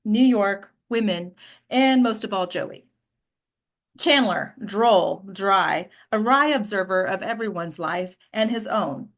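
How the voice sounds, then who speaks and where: Woman narrator reading screenplay directions, medium pitch, table read. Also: fairly bright tone.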